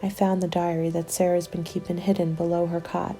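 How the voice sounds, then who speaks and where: A woman reading scripted ASMR roleplay narration in a breathy, hushed and grave, deliberate way. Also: lowish voice, flat delivery, faint music behind.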